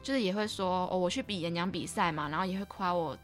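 A woman's voice: on the low side, fast, soft background music. A young adult woman with a Taiwanese Mandarin accent, hosting a casual podcast interview and telling personal stories reflectively. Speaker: podcast host and radio drama writer.

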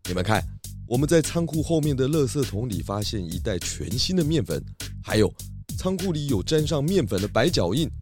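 Confident, lively male pig detective played by a man. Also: medium pitch, a little fast, faint music behind, close to an everyday voice. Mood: assured, explaining. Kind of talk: children's detective audio drama.

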